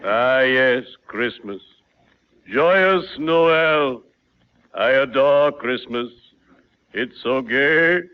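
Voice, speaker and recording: An actor playing a courtly, morbidly cheerful undertaker in a 1940s radio comedy, speaking in a mournful, formal way. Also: male voice, medium pitch, lively intonation, clear, resonant tone. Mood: solemnly genial. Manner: mournful, drawn-out, formal delivery. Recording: muffled 1940s radio recording.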